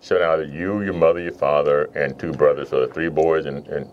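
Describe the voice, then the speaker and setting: A man's voice: extremely low pitch, fairly even intonation, normal speed, muffled recording, a little hiss or hum. A man interviewing a guest, asking careful clarifying questions. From Houston, Texas. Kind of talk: oral history interview.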